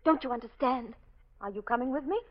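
A woman playing an anxious but stubborn young woman in a 1940s radio mystery, nervous and halting. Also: fairly high pitch, close to an everyday voice. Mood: anxious, insistent. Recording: dull old radio broadcast recording.

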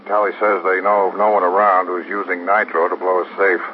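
A dull old radio recording with faint noise carries an actor as a low-pitched American male detective lieutenant. He is businesslike and worried, with clipped, plain delivery. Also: flat delivery, a little fast, close to an everyday voice. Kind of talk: 1950s radio drama acting.

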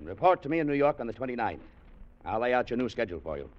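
A man's voice: mid-range voice, big pitch swings, racing, lightly acted. An actor playing a bossy, condescending American manager who needles his employee in an old radio drama. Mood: smug. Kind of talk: 1940s-50s radio drama acting. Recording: dull vintage radio recording.

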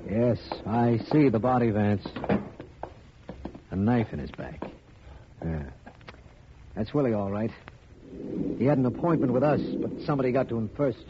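A man's voice: medium pitch, moderate pace, pauses often, background noise, natural voice. Man as radio district attorney, muffled old recording. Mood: grave. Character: earnest, plain-spoken male district attorney, detective's companion. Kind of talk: 1950s radio detective drama acting.

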